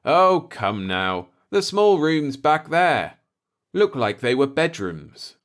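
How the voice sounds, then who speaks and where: A man's voice: on the high side, sing-song, slow pace, a bit bright, pitched above the performer's own voice, full character voice. A man voicing an eager, brash human explorer, emphatic and argumentative, in a science fiction story. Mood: dismissive, curious.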